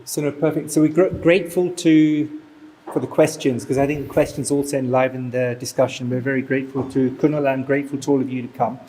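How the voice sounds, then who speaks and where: A man with a quiet voice, giving thanks and announcements to a gathering. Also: medium pitch, steady tone, fast talker, breathy edge, rough recording. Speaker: event organizer giving thanks. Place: community hall.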